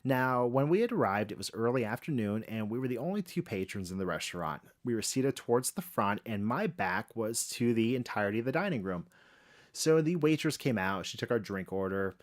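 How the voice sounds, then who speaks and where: A man recounting a personal experience in a measured storytelling style. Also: medium pitch, lively intonation.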